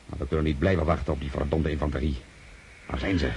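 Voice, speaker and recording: Radio drama role: wary Cossack commander, very deep, curt authority, noisy outdoor scene. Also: male voice, steady tone, fast talker, a little mellow. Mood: urgent, resolute. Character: wary, commanding male Cossack cavalry general. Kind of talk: radio play acting.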